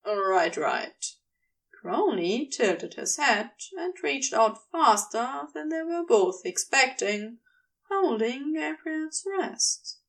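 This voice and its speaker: A woman narrating fiction expressively, with a measured storytelling delivery and a creaky voice. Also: high-pitched, sing-song, distant mic. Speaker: podfic reader.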